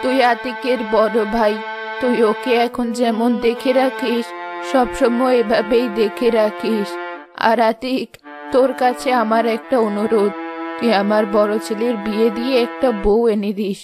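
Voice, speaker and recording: A woman playing a gentle, principled village mother in a cartoon moral story, speaking softly and earnestly. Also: on the high side, level tone, unhurried, music in the background, lightly acted. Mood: tender, weary.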